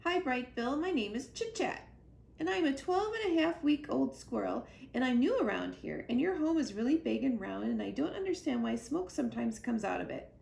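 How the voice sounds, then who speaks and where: A woman plays an excitable little girl squirrel from a children's storybook, chattering nervously in breathless runs. The voice is high and swooping, in a roomy, echoing space. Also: normal speed, full character voice. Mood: eager, rambling. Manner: rambling, breathless run-on chatter. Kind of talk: children's storybook read-aloud. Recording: roomy echo, clear background noise.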